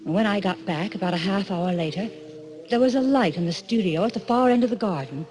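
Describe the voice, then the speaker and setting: Actress as anxious, expressive woman recounting dread. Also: medium pitch, a little fast, lightly acted, rough recording. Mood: uneasy, haunted. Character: anxious, troubled young woman recounting horror to her brother. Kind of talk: 1940s radio drama acting and narration. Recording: old radio broadcast, music behind.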